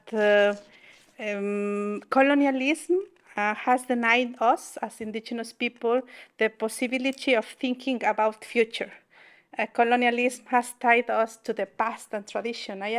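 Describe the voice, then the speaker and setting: A woman in her forties from southern Mexico, Mixe her first language, giving a public talk in English with a Mexican Spanish accent, halting and restarting as she searches for words. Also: on the high side. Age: 40s. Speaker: invited speaker.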